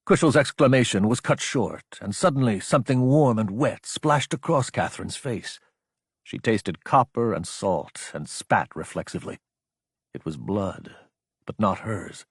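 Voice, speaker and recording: A man's voice: on the low side, animated, unhurried, breathy edge, no echo, clean studio sound. A man reading action fiction as a dramatic, scripted audiobook narration.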